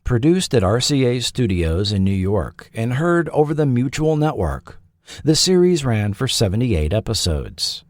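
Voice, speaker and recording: Measured male documentary narrator, expressive pitch. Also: no room sound, clean studio sound. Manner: dramatic, deliberate storytelling. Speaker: host and narrator.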